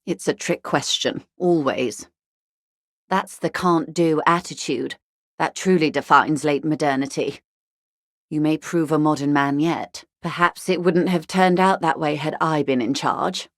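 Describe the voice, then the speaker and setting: An actress playing a worldly older upper-class woman in a video game, speaking in a measured, wry, faintly condescending manner. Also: low voice, steady tone, no room sound, studio quality. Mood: wry, needling, then resigned, musing. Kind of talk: video game character dialogue.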